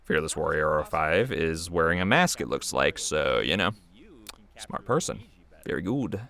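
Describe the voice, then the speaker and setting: A man's voice: extremely low pitch, expressive, normal speed, gravelly, fairly bright tone. A man giving casual, unscripted commentary as a video creator, rambling and chuckling in a conversational way.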